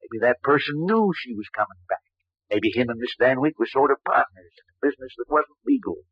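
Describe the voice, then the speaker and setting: A man's voice: mid-range voice, sing-song, a little fast, husky, no echo. An American actor playing a shrewd, folksy small-town lawman in old-time radio drama, drawling, dry and probing. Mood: sly, accusing. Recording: dull, muffled old radio recording.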